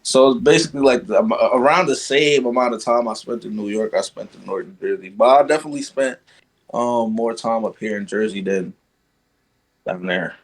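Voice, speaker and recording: A man's voice: on the low side, fairly quick, rough recording. Young man, casual podcast guest. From Guyana. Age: young adult. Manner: relaxed, lots of fillers like "you know what I'm saying". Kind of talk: casual conversation.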